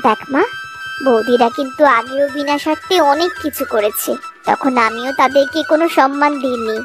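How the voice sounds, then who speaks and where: Woman acting calm, reasoning cartoon mother over music. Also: sits high, normal speed, lightly acted. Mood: gently reasoning. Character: older mother, calm, fair-minded and reasoning. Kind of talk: cartoon family drama dialogue. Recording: music behind the voice.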